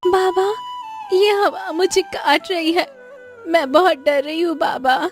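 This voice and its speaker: A woman's voice: extremely high pitch, slow, soft background music. A woman voicing a frightened, freezing little girl in a cartoon story, plaintive and pleading. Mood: scared, plaintive.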